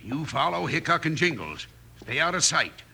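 An actor playing a crooked, outwardly folksy frontier storekeeper with an American Western accent in an old-time radio western. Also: male voice, a little high, big pitch swings, moderate pace, gravelly, sharp tone, slightly rough, exaggerated voice. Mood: menacing, urgent. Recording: faintly noisy old radio recording.

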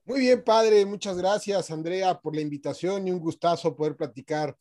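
A man's voice: high-pitched, moderate pace, dark tone. A man in his forties with a Mexican accent, a guest answering interview questions earnestly and fluently. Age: 40s.

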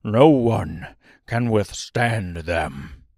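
Storybook great lion voiced by a man: lowered pitch, slow heavy delivery, sore and resigned. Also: lively intonation, vocal fry, a little mellow, no room sound, clean studio sound, full character voice.

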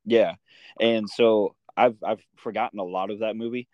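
A man with a fairly low voice hosts a casual interview in a very dry acoustic. He talks informally and haltingly, thinking aloud as he goes. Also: steady tone, dry recording, highs cut off. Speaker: interviewer and host.

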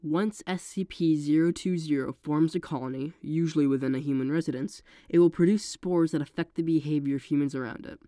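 Stuffy-nosed narrator reading a creepy case file, steady and even, kinda dark dry sound. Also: mid-range voice, no echo. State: congested from a cold. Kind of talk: scripted narration of a horror file.

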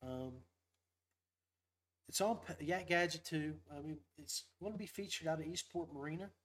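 A man's voice: fairly high pitch, normal speed, fairly bright tone. A man hosting a casual fishing livestream, talking in a rambling, folksy, upbeat way. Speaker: podcast host.

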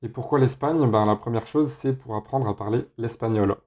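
Relaxed young man with a mellow voice. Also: medium pitch, moderate pace. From France. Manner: relaxed, many filler hesitations. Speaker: podcast host. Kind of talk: casual solo podcast.

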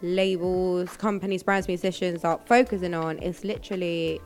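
A woman's voice: fairly low pitch, fairly even intonation, moderate pace, a little mellow. A woman hosting a casual music interview in a slangy, enthusiastic, chatty way. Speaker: interviewer, show host.

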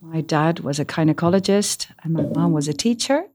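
Low-voiced Dutch woman, lively interview guest. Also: a little fast, a little hiss or hum. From Netherlands. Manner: lively, searching for English words. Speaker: podcast guest. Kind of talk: casual interview conversation. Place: office.

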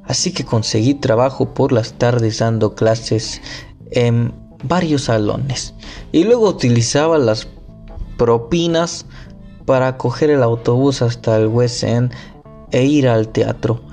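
A man slowly reading a nonfiction book aloud, careful and deliberate, now and then stumbling over a word and starting it again. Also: mid-range voice, creaky, dark tone, soft background music. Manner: slow, careful reading with occasional slips and restarts. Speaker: amateur reader.

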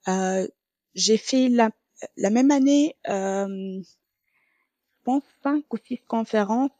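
A woman's voice: on the high side, slow pace, mellow, dry recording. Hesitant non-native woman, dry sound. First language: neither French nor English, trilingual. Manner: hesitant, many filler sounds. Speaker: podcast guest, quality coach. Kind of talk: casual interview talk.

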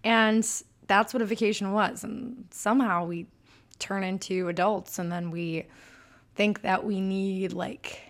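A woman with a Michigan accent hosts her own podcast in a chatty, bubbly way. Her voice sits at a medium pitch, and her delivery stays upbeat and personal. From Michigan, USA. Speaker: podcast host. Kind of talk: solo podcast monologue.

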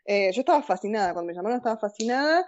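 A woman's voice: mid-range voice, animated, racing, darkish tone, muffled recording. A woman with a Rioplatense Argentine accent, chatting casually and telling personal stories as an interview guest, informal and slangy. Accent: Rioplatense Argentine Spanish. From born in Argentina, grew up in several countries. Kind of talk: casual chat, personal anecdotes.

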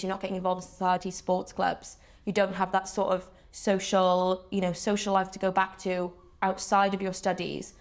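Flat-voiced young woman. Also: mid-range voice. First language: Welsh. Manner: even, steady, with many "sort of" fillers. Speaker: students' union officer, guest. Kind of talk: casual interview answers.

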